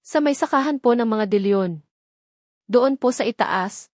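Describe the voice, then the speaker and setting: A woman's voice: a little high, unhurried, a little mellow, no room sound, pitched above the performer's own voice, natural voice. Audio romance: female reader as scared farm boy of ten, polite, urgent, pleading, dry sound. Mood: panicked. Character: frightened, breathless ten-year-old farm boy, polite.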